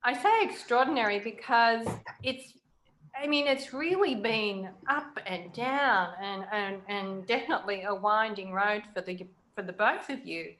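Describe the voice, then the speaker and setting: A woman interviewing in a conversational way, reflective and pausing to choose her words. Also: medium pitch, animated, fairly bright tone, slight background noise, rough recording. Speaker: interviewer, writer.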